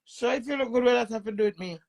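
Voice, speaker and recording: A woman's voice: a little high, racing, a little mellow. A woman with a Jamaican accent touched with British, chatting playfully and teasing on a live stream from home. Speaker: livestream host. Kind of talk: flirty casual livestream chat.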